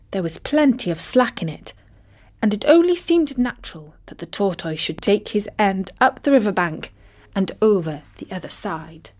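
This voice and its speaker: A woman voicing a wry old vulture who narrates an animal fable in a measured, dramatic storytelling style. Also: fairly low pitch, a little mellow. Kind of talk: scripted storytelling in character.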